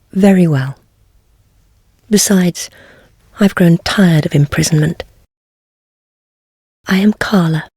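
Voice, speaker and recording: A woman playing a wry, world-weary heretical witch in a fantasy game, speaking in measured, archaic phrases with gentle teasing. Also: lowish voice, no echo, studio quality. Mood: soft, sincere. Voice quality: husky, breathy. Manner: measured, archaic formal speech, softly teasing. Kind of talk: scripted fantasy game voice acting.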